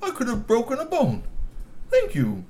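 A man voicing an old female troll grandmother who thanks a human softly. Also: extremely high pitch, huge pitch swings, moderate pace, voice pushed up, full character voice. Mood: grateful.

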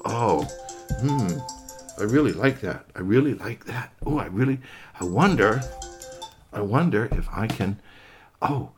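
A man's voice: fairly low pitch, expressive, a little slow, long pauses, over music. A man doing a curious ten-year-old boy. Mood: wondering. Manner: wondering, musing aloud.

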